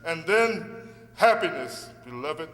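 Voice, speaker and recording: An actor playing an earnest, dogged defence barrister with formal, oratorical delivery in a radio play. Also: male voice, a little high, animated, takes it slowly, crowd noise. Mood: reading solemnly. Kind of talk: courtroom radio play acting. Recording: hall reverb with faint hum.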